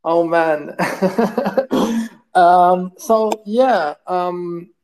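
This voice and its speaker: A man from Morocco with a fairly high voice speaks as a guest in an interview. He rambles through his answers, full of fillers and restarts. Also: drawn-out words, a little mellow. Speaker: guest, game studio co-founder. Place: at home, lives in France.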